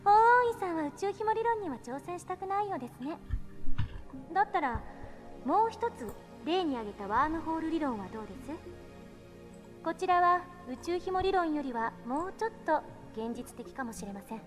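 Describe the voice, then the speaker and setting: A woman's voice: very high-pitched, animated, soft background music. A woman voicing a confident, teasing young female scientist who lectures in a visual novel, explaining things with playful challenge. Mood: teasing, challenging. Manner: brisk, clearly enunciated explaining, playfully challenging. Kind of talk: Japanese visual novel voice acting, lecture delivery.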